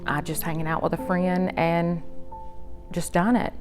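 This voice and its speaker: A woman's voice: lowish voice, little pitch movement, measured pace. A woman from Georgia, speaking simply and plainly about her life. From Kingston, Georgia. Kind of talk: personal recovery testimonial. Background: soft music.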